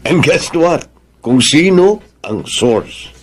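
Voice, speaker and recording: An actor playing a smug, ambitious grandson plotting in politics, theatrical and sprinkling in English, in a Filipino radio drama. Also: male voice, fairly high pitch, big pitch swings, slow, breathy edge, heavily acted.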